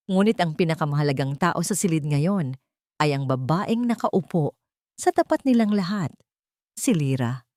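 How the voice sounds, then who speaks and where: A woman's voice: on the low side, a little mellow, no room sound, clean studio sound. A woman with a Filipino accent narrating a dramatic scripted story as an audiobook narrator.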